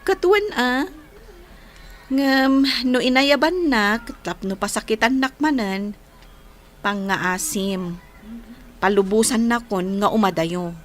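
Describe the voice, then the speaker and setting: Gentle modest young woman, Ilocano accent, high-pitched, unhurried slow delivery, radio drama. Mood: gentle, forgiving. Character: gentle, forgiving young woman, modest about being courted.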